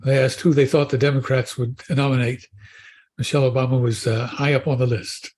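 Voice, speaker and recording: A man's voice: mid-range voice, little pitch movement, fairly quick, a little mellow. A man talking on a panel show in a casual discussion, often restarting his phrases. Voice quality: husky.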